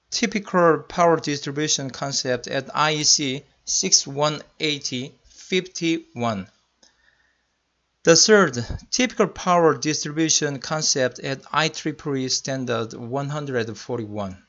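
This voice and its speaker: A man's voice: on the high side, a bit bright. Korean-accented man giving a technical presentation. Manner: careful, deliberate non-native phrasing. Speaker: senior electrical engineering manager. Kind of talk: slide presentation lecture. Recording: muffled lo-fi voice-over.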